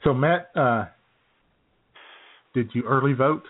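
A man co-hosting a chat show, telling a story in a relaxed way, often chuckling and clearing his throat. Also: mid-range voice, slow. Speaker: podcast co-host. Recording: phone-like lo-fi audio.

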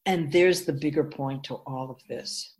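A woman playing an ageless, wise spirit guide in a radio drama, explaining in a measured, emphatic, instructive way with deliberate pauses. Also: sits low, a little mellow. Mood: reverent, solemn. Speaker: teacher figure in a radio drama.

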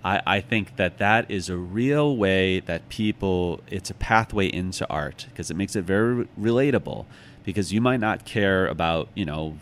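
A man's voice: low voice, normal speed. A middle-aged American man talking casually as an interviewed author, reflective and thinking aloud. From United States, Chicago. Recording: clearly noisy background.

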